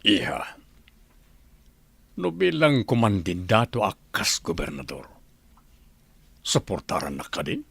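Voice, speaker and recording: A man's voice: lowish voice, wildly swooping pitch, slow, vocal fry, long pauses, a bit bright, breathy edge, dry recording, full character voice. A man playing an earnest middle-aged father and soldier with political hopes in a radio drama. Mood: calm, earnest.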